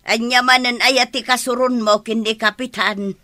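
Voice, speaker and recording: A woman's voice: on the high side, fairly even intonation, moderate pace, bright, forceful tone. A woman with an Ilocano accent playing a blunt, fed-up, scolding villager in a comedic radio drama. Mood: matter-of-fact.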